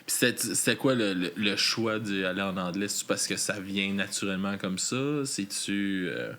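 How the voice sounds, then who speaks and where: A Québécois man hosts a casual interview, talking in loose, rambling sentences full of fillers and the odd swear. His voice sits a little low but comes across bright and forward. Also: normal speed. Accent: Québécois French. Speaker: podcast host.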